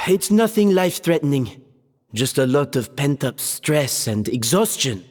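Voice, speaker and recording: A calm, matter-of-fact kitchen supervisor. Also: male voice, medium pitch, unhurried. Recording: game audio with reverb and music.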